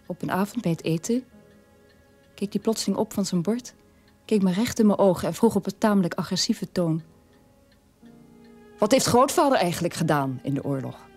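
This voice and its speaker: A woman's voice: medium pitch, lively intonation, moderate pace, long pauses, a little mellow, soft background music. An actress playing a troubled middle-aged mother telling her family's story in a radio play monologue. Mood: reflective, troubled.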